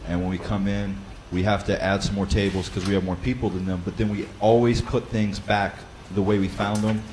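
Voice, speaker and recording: Loud-voiced man giving practical teaching tips. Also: lowish voice, flat delivery, brisk, slight background noise, low-quality recording. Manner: conversational, with frequent fillers and restarts. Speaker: Bible study teacher. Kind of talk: instructional talk.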